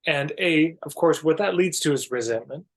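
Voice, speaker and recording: A man speaking as a podcast guest, explaining ideas reflectively in an emphatic but measured conversational way. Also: fairly high pitch.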